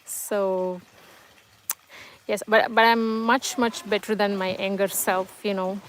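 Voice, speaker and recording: Slow, soft-spoken woman with a Tamil first language. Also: mid-range voice, steady tone, slight background noise. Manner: hesitant, many fillers and restarts, gentle and reassuring. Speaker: livestream host. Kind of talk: casual live-chat monologue, reading comments.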